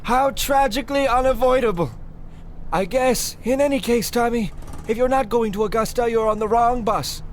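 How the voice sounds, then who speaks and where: A man's voice: very high voice, normal speed, slight background noise. A man playing an eccentric, superstitious old New England bus driver, rambling and folksy, in a comedic old-time radio play. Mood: matter-of-fact.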